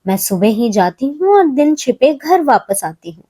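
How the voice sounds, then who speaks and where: Woman voicing a worried mother goat, lively pitch. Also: moderate pace, slightly rough, no room sound, studio quality, exaggerated voice. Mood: earnest, burdened.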